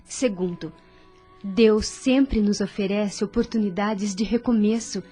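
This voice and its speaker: A woman playing a devout, caring young woman at a sickbed in an audio drama, gentle, earnest and consoling. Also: mid-range voice, a little slow, breathy edge. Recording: soft music behind voice.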